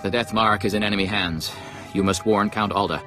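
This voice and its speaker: An actor playing a grave, precise scientist doctor in a video game, giving serious, urgent instructions. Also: male voice, fairly low pitch, fairly even intonation, fairly quick, music in the background, lightly acted. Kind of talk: video game cutscene acting.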